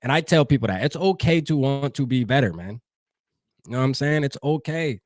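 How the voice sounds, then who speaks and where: A man's voice: medium pitch, lively intonation, brisk, no room sound, studio quality. A man from New Jersey hosting a fan show, talking loosely and profanely straight to his live chat. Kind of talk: casual livestream sports talk.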